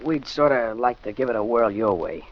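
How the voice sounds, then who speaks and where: A man's voice: medium pitch, expressive, moderate pace, natural voice. A man playing an earnest, bashful boy from a street gang, hesitant and polite, in 1940s radio style. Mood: sheepish, hopeful. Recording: muffled, faintly noisy 1940s radio recording.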